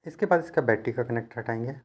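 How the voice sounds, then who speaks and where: A man's voice: medium pitch, quick pace, breathy edge. Patient man explaining hands-on repair steps. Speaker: phone repair technician giving a tutorial. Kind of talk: hands-on instructional narration, conversational.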